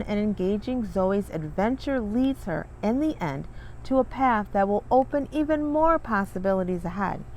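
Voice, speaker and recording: A woman's voice: medium pitch, darkish tone, a little hiss or hum, low-quality recording. A woman hosting a children's read-along, speaking in an encouraging, emphatic way as she introduces and reads a picture book. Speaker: read-along host and coach, reading with her daughter.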